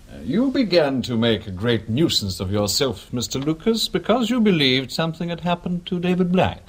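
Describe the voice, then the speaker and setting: An actor playing a formal, courteous and measured British gentleman in a radio drama, smooth and sinister. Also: fairly high pitch, sing-song, slight background noise. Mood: smooth, confiding.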